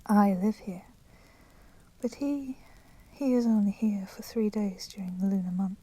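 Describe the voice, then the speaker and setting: Girl doing a vampire lady for asmr roleplay, soft breathy whispers, really slow, super polite but kinda menacing. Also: mid-range voice, dry recording, lightly acted. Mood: earnest, reproachful. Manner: slow, deliberate, formally courteous. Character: polite, menacing female vampire, proud and merciful.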